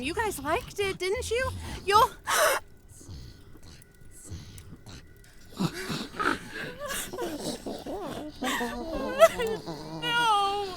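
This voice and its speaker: An actress playing a frightened storyteller in a horror audio drama, with a hesitant, pleading manner. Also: female voice, extremely high pitch, heavily acted. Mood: terrified, tearful pleading. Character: frightened woman storyteller desperately pleading with her captors. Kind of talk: audio drama narration and acting.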